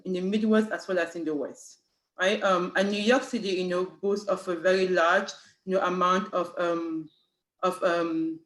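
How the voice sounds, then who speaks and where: A speaker from Cameroon with a French accent, a city human rights official giving an informational panel talk and leaning heavily on "you know" fillers and "right?" tags. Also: mid-range voice. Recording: echoey room.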